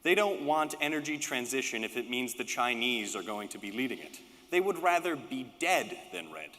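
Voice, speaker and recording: A man giving a prepared political speech at a conference, with emphatic, rhetorical phrasing and deliberate repetition. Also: medium pitch, lively intonation, sharp tone. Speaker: political speaker, panelist. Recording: reverberant hall with long echo.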